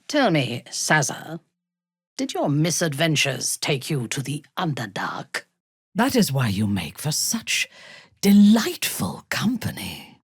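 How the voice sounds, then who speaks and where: Ruthless female dark elf, fantasy game, low-pitched, slow and deliberate, imperious, husky, formal. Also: huge pitch swings, no echo, clean studio sound, full character voice. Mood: disdainful, wry. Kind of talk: scripted video game character lines.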